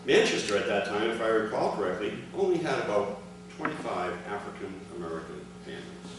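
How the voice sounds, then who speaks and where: A man reads a prepared statement in a formal, deliberate way, stressing the start of his sentences. He is recorded from far off in a very echoey room, with clear background noise. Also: fairly low pitch, big pitch swings. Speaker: speaker reading his father's prepared account. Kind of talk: formal prepared speech read aloud at a ceremony. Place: large indoor room, addressing a gathering. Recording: echoey, noisy hall recording from afar.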